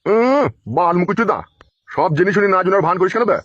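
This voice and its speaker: A man's voice: high-pitched, brisk, fairly bright tone, no room sound. A man playing a foul-mouthed, quarrelsome older village father-in-law in a comic cartoon, scolding and cursing. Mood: angry scolding. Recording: dull lo-fi dubbed audio.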